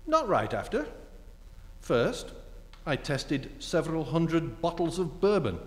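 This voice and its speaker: A man acts a roguish, mock-gallant ex-husband in an old radio comedy. He takes his time, with big swoops in pitch. The stage hall echoes around him. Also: very animated, a little hiss or hum. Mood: wry. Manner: sardonic, mock-gallant, unhurried. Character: suave, teasing, roguish ex-husband. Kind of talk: staged 1940s-style radio comedy acting. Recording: echoey theatre hall recording.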